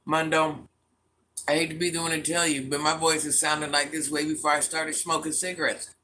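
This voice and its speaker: A deep-voiced middle-aged woman hosting a casual livestream, reading viewer comments aloud and talking back to them bluntly and with sass. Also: level tone, fairly quick, pushed and bright. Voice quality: deep, smoky voice. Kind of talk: casual live chat.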